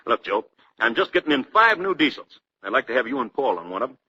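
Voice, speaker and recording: A man's voice: medium pitch, very animated, quick pace, pushed and bright. An actor playing a jovial, boisterous trucking boss who likes a drink, talking slangily in 1940s radio style and laughing at his own jokes. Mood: friendly. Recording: muffled, noisy 1940s radio recording.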